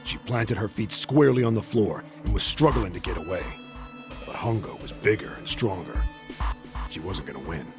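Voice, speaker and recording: A man's voice: deep voice, animated, moderate pace, loud music behind the voice. An actor playing a haunted man who painfully narrates a traumatic memory in a video game. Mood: tense. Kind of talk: dramatic first-person game narration.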